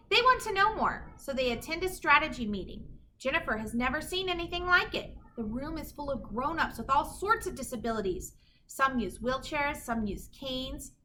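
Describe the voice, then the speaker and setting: A woman reading a children's picture book aloud in an animated, emphatic storytelling manner. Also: high voice, slight background noise. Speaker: children's story reader, teacher.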